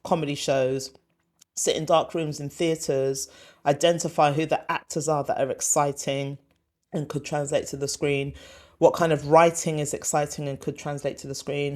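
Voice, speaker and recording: A woman, born in Ghana, a former TV comedy commissioner answering as a guest, emphatic and animated. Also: deep voice. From born in Ghana, raised in West London. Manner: emphatic and animated, acts out quotes. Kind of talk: conversational interview answer.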